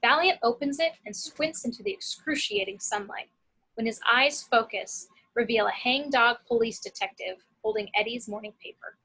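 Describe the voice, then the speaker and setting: A woman's voice: a little high, normal speed, pushed and bright, slightly rough, low-quality recording. A woman reading the action descriptions of a screenplay as a scripted narrator.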